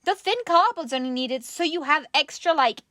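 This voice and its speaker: A woman's voice: sits high, normal speed, bright, forceful tone, dry recording, clean studio sound. A woman co-hosting a craft show in casual comedic chat, giggly and playfully sarcastic as she presents.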